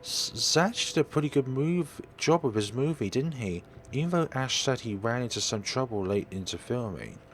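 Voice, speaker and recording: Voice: medium pitch, expressive, normal speed, few pauses, slight background noise, close to an everyday voice. A man voicing a chatty, earnest young woman in a visual novel, babbling eagerly and hesitantly. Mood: enthusiastic.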